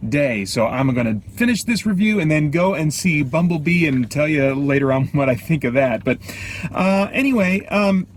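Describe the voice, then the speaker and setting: Halting man reviewing films, faint background noise. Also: a little high, expressive. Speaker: film reviewer and host. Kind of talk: casual unscripted movie review. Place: inside a car. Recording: light background noise inside a car.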